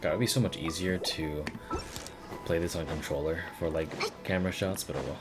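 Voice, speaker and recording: A low-pitched man does livestream game commentary. He drifts between casual, amused asides and reading game text out loud, with clear game music playing behind him. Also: steady tone, fairly quick. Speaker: game streamer.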